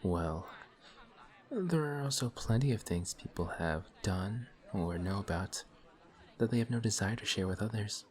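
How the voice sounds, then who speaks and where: A man's voice: on the low side, lively intonation, measured pace, some chatter behind. A man playing a suave, polite villain. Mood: courteous. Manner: courteous, formal, sly and quietly taunting. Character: suave, polite male villain in civilian clothes. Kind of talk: one-sided audio roleplay. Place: coffee shop scene with faint café chatter.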